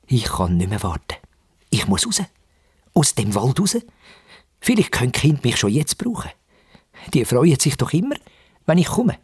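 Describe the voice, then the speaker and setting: An impatient, eager Easter bunny with a Swiss German accent , acted out by a man. The melody swoops up and down and the tone is rather husky. He wonders and exclaims aloud like a character in a children's radio play, over music. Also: mid-range voice, very animated, normal speed, long pauses, darkish tone, no room sound, studio quality. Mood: restless, hopeful. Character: excitable, impatient, easily startled young-hearted male Easter bunny.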